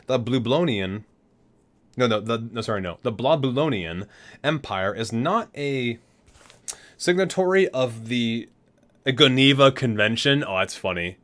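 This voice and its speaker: A man's voice: mid-range voice, fairly bright tone. A man reading aloud in an ASMR style, stumbling over words and correcting himself between casual asides. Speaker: ASMR video host.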